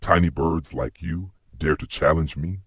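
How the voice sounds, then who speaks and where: A man playing a husky, very deep villain bird. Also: normal speed, a bit bright, dry recording, clean studio sound, exaggerated voice. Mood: menacing. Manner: ominous, threatening. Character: menacing dark villain bird, Shadow Wing. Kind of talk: children's cartoon story acting.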